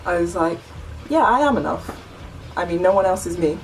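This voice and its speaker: Deep-voiced young woman, chatty vlog. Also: lively intonation, fairly quick, recorded from a distance, faint music behind, low-quality recording. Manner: chatty, earnest, many "like" and "you know" fillers. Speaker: vlogger. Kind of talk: casual chat to camera.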